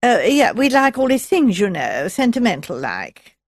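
A woman's voice: fairly high pitch, wildly swooping pitch, normal speed, no echo, studio quality. A woman voicing a mean, flashy wife with a thin, reedy voice, plaintive and colloquial, in an audiobook. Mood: sentimental. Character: mean, flashy wife putting on mournful sentimentality. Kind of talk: audiobook character voice.

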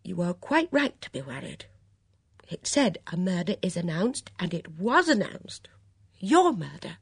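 Audiobook role: excitable, dithering older woman voiced by a female reader, high, breathy, very animated, close dry sound. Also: moderate pace, no room sound. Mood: tearful, worried. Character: fluttery, anxious, stubborn older woman companion. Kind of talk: audiobook character dialogue.

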